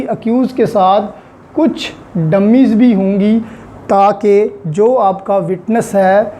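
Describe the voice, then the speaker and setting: A woman's voice: medium pitch, warm tone, slight background noise. A woman with a Pakistani accent gives an explanatory lecture, repeating key points in a didactic way and mixing in English terms. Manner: mixes English terms and phrases into speech. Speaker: law lecturer. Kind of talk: explanatory lecture with worked examples.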